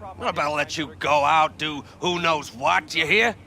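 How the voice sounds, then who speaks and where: An actor playing a hostile, drunken, bullying father in a video game, gravelly and belligerent. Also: a little high, moderate pace, pushed and bright, a little hiss or hum. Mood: furious, bullying. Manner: belligerent, swearing, barking orders.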